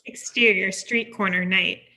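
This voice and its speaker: A woman's voice: mid-range voice, fairly even intonation, measured pace, sharp tone, low-quality recording. A woman reading stage directions as scripted narration, neutral and matter-of-fact. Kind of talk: scripted narration at a table read.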